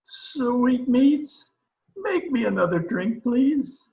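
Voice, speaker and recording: A man's voice: extremely high pitch, expressive, extremely slow, gravelly, darkish tone, echoey room, exaggerated voice, poor audio. A man playing a pompous, blustering dictator president in an old-time radio comedy recorded over a video call. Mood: startled, dismissive. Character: pompous, excitable dictator president of a banana republic.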